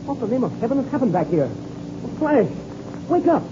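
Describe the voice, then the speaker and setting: An actor playing an excitable, urgent airplane pilot in a 1930s radio adventure serial. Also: male voice, very high voice, a little fast. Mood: alarmed. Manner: urgent, exclamatory. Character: excitable adult male airplane pilot, alarmed, loyal. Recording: muffled, noisy old radio recording.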